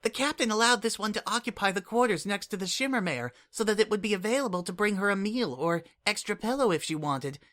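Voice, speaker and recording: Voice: medium pitch, expressive, quick pace, few pauses, a bit bright, voice pushed up, lightly acted. A reader voicing a small young female changeling child who speaks formally, calling herself "this one", earnest and eager in an audiobook. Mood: concerned.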